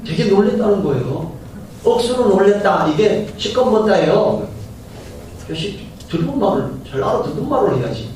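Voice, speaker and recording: Middle-aged pastor preaching in an echoey hall. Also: male voice, sits high, sing-song, normal speed, darkish tone. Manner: conversational preaching, asks the congregation questions. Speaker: senior pastor. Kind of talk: Sunday sermon. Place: church sanctuary. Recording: very echoey church hall from far off, faint hiss.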